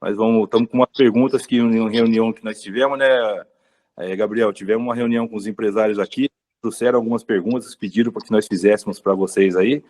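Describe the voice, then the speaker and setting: A man hosts a webinar, introducing his guests and putting questions to them. His voice is on the low side and his speech is loose, padded with small filler words. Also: steady tone, fast talker, darkish tone. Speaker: webinar host. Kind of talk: hosting a webinar.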